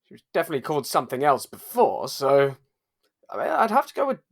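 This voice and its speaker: A catty, exasperated show insider , acted by a man, in a mock documentary interview. The voice sits high and swoops up and down with exaggerated scorn. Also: big pitch swings, fast, gravelly, heavily acted. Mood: exasperated gossip. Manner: mimics others mockingly, exaggerated. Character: catty, exasperated cast member gossiping about a diva.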